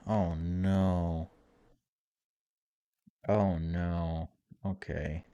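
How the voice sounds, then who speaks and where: A man's voice: low voice, flat delivery, very slow, warm tone, clean tone, muffled recording. A man giving a casual, chatty let's-play, reading game text aloud and adding wry asides. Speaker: video game streamer.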